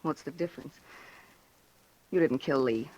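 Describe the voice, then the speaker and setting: A woman's voice: sits low, unhurried, darkish tone, lo-fi sound. An actress playing a frightened, guilty woman in an anxious, urgent 1940s radio melodrama style. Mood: bitter, confessing. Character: frightened, guilty woman confessing her brother's scheme.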